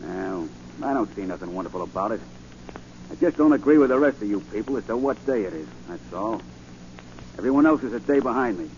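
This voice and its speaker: An actor playing a sullen, insistent psychic with rough, slangy talk in 1940s radio style. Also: male voice, fairly low pitch, animated, fairly quick, a little mellow. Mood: suspicious. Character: sullen, insistent, streetwise male self-proclaimed psychic. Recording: muffled, faintly noisy 1940 radio recording.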